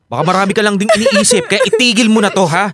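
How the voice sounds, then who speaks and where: Fast, exasperated Filipino husband. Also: male voice, sits high, huge pitch swings, a bit bright, full character voice. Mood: evasive, weary. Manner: curt, exasperated. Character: busy, impatient, irritable husband worn down by his wife. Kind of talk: Filipino radio drama acting.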